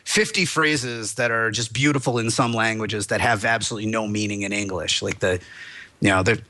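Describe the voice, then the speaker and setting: A man who is a lawyer guest, talking in a rambling, excitable way with lots of restarts. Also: on the low side, brisk. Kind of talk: animated podcast discussion.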